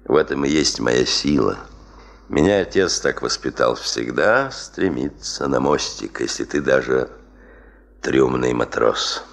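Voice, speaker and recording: An actor playing a proud, driven, stubborn expedition chief in a 1970s Soviet radio play, emphatic and self-assured. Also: male voice, rumbling low, animated, unhurried, creaky, heavily acted. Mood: proud, measured.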